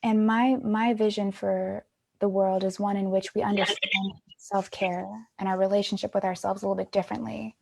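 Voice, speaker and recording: A woman's voice: medium pitch, little pitch movement, moderate pace, gravelly, darkish tone. A woman in her twenties guiding an online creative workshop for young people in an encouraging, reflective way. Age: 20s. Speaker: workshop facilitator.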